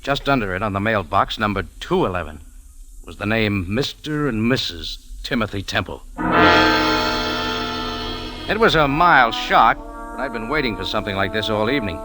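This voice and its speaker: A man's voice: lowish voice, animated, moderate pace, long pauses, sharp tone. A man acting a tough, wry amateur sleuth in an old-time radio detective drama, both narrating and in dialogue. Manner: hardboiled, wry. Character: tough, wry male cafe owner turned amateur sleuth. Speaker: narrator and lead actor. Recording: vintage radio recording with music.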